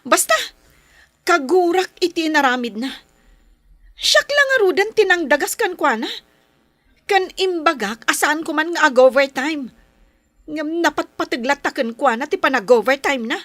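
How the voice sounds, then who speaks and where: A very high, swooping female voice: a sulky young Ilocano girlfriend in a radio drama. She whines and demands, quick to take offence and reproach. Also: expressive, fairly bright tone, dry recording. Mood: sulky, upset. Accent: Ilocano, northern Philippines. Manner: whiny, sulking.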